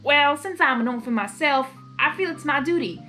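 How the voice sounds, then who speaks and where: A woman's voice: high voice, lively intonation, moderate pace, pushed and bright, soft background music, voice pushed up. A woman voicing a kindly, plain-spoken cowgirl pony with a folksy country drawl, in a storybook reading. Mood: earnest, helpful.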